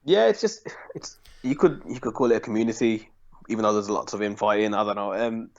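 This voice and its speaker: A hesitant young man of about twenty chats about football as a podcast guest. He fills pauses with ums and small laughs, and his voice comes through slightly dull and lo-fi. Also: fairly low pitch, darkish tone. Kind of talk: casual football chat.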